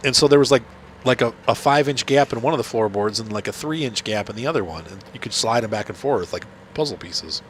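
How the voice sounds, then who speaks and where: A man's voice: mid-range voice, animated, brisk, slight background noise. Nasal man with a cold, chatty gamer. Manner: rambling, chatty, many fillers and restarts. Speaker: gaming channel host. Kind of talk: casual commentary while playing.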